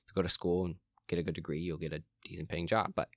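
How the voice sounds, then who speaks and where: A young man hosting a solo podcast from his room, rambling with frequent ums and restarts, his voice a little hoarse and cracking. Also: sits low, flat delivery, fast talker, no echo, studio quality. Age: young adult. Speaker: podcast host.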